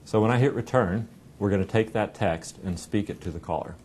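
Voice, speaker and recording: A man's voice: a little fast, a little mellow. A middle-aged man explaining a product conversationally during an interview and demonstration, recorded indoors on a lapel mic. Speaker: software company representative giving a demo. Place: indoor meeting room. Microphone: clipped lapel microphone. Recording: clear low rumbling room noise.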